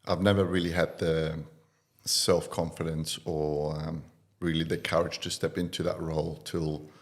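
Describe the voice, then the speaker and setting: A man from Iraq in his thirties, a guest on a podcast, telling his life story in a relaxed way with many fillers. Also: a bass voice, normal speed. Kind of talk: casual interview talk.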